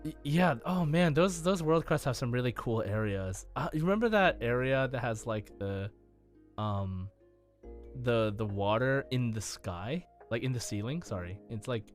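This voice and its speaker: A man's voice: mid-range voice, lively intonation, normal speed. Casual male podcast host over music. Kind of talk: casual gaming podcast chat.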